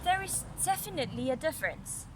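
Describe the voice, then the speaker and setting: Woman reading as a haughty, high-voiced talking apple branch. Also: very animated, noisy background. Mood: condescending pity. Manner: haughty, pitying, slow and emphatic. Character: vain, condescending apple branch in a fairy tale.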